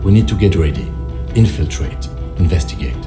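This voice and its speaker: An actor playing an earnest, dutiful police lieutenant in a game, speaking in a measured, precise, formal way. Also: male voice, sits low, animated. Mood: earnest, resolute. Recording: music under the voice. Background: music.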